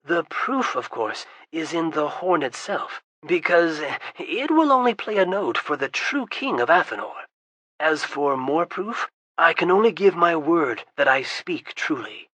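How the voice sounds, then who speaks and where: A reader voicing a composed, courteous wizard adventurer who speaks smoothly and persuasively. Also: medium pitch, sing-song, measured pace, fairly bright tone, dry recording. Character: calm, smooth-talking adult wizard adventurer.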